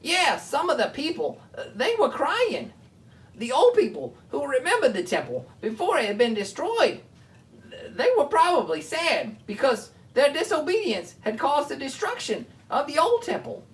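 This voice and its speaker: A woman hosting a children's Bible show, explaining things simply and chatting along in an animated way. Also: sits high, moderate pace, distant mic.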